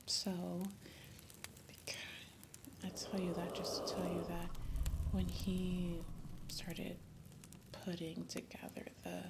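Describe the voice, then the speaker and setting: A woman playing a gentle, wistful young dragon rider, telling her past in a breathy, halting ASMR whisper. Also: on the low side, level tone. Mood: tender, hushed. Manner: slow, halting, hushed, intimate. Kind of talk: whispered ASMR roleplay storytelling. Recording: loud ambient nature sound throughout. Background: campfire and night nature ambience.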